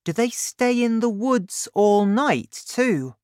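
Man doing eager young boy, high lively voice, slow reading, dry close sound. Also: clean tone, no echo, heavily acted. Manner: raised pitch, excited questions. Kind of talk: audiobook character voice.

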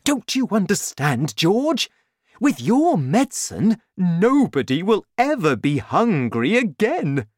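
A woman voicing an excitable, bossy middle-aged father in a children's storybook, exclaiming emphatically and insistently. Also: extremely low pitch, extremely slow, hardly any pauses, no room sound, exaggerated voice. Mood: excited, insistent.

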